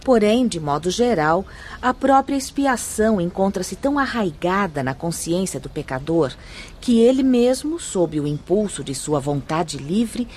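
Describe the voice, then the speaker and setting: A woman playing a serene, learned spirit guide in a radio drama, speaking in a formal, measured, instructive and firm manner. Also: lowish voice, sing-song, a little mellow, a little hiss or hum. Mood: patient, instructive. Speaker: actress in a radio play. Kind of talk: radio drama acting, solemn and didactic.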